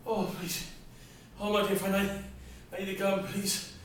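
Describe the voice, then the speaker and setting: A man's voice: high-pitched, level tone, a little slow, a little hiss or hum. An actor playing a harried, overworked family man who is desperate and out of breath, pleading and bursting into rants. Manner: pleading, gasping, ranting in outbursts. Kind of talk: theatrical stage acting. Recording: echoey hall from far off, faint ambience.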